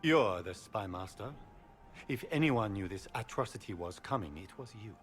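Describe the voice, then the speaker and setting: A man's voice: on the low side, animated, normal speed, faint music behind, close to an everyday voice. An actor playing a proud, scheming nobleman in a video game, aristocratic and accusing in manner. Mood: indignant. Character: proud, indignant scheming nobleman, a Grand Duke.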